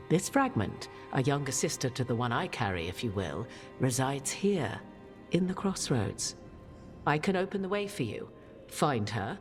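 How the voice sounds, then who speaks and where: An ancient witch of a fantasy game, imperious and cryptic. The voice is low for a woman and swoops expressively through formal, archaic lines. Music plays clearly behind the studio-recorded speech. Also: full character voice. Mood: solemn, portentous. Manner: formal, archaic, deliberate, theatrical phrasing. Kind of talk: dramatic fantasy game dialogue. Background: background music.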